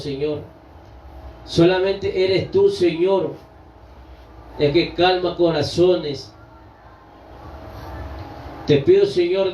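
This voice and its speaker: A man's voice: a little high, a little mellow, slight background noise. Fervent praying man, roomy and distant. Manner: fervent, repeats "Lord" after almost every phrase; pleading, with frequent restarts. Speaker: prayer leader. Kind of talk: spontaneous spoken prayer. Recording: roomy, distant, dull lo-fi sound.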